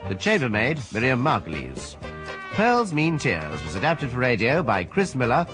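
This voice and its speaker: A man's voice: mid-range voice, wildly swooping pitch, normal speed. A man with a British accent reading scripted broadcast credits as a radio announcer. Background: music.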